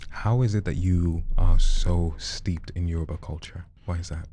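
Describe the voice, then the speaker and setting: A man's voice: deep voice, steady tone, normal speed, mellow. A man hosting a podcast interview, chatty and upbeat, coaxing his guest along. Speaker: podcast host. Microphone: studio microphone.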